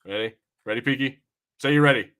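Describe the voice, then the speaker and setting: A man's voice: mid-range voice, a little fast, fairly bright tone, smooth. A young adult man in casual podcast banter, brash and teasing, swearing freely as he talks. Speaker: podcast co-host. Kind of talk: casual watch-party banter.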